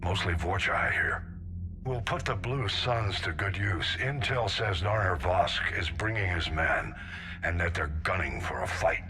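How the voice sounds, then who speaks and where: An actor playing a gruff, seasoned older navy admiral in a video game, blunt and authoritative. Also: male voice, low-pitched, unhurried, background noise. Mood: gruff, businesslike. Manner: blunt, authoritative military briefing delivery. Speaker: military admiral. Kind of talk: scripted video game acting.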